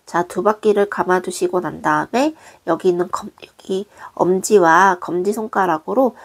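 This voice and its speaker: A woman, hoarse from a cold, patiently walking viewers through a craft tutorial step by step in a gentle, polite way. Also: lowish voice, normal speed. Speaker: crochet designer teaching.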